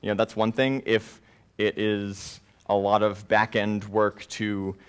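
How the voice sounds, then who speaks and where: A man presenting at a tech conference, answering audience questions informally in halting phrases peppered with filler words. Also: fairly low pitch, monotone, clear, resonant tone. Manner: hedging, halting explanations peppered with "you know".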